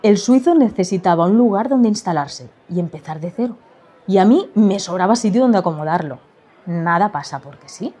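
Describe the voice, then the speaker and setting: Mellow woman narrating a literary story. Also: medium pitch, expressive, moderate pace. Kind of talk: first-person literary storytelling, scripted narration.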